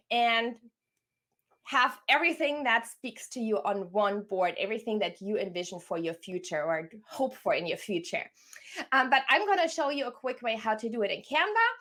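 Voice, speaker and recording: A woman's voice: fairly high pitch, a bit bright. An enthusiastic, effusive woman from Germany, talking conversationally as a career coach hosting a live online workshop.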